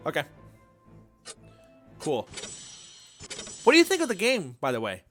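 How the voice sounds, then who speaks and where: A man's voice: fairly high pitch, huge pitch swings, a little slow, fairly bright tone, soft background music. A man streaming a video game, chatting casually with his viewers in a self-deprecating way. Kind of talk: casual livestream chat while playing.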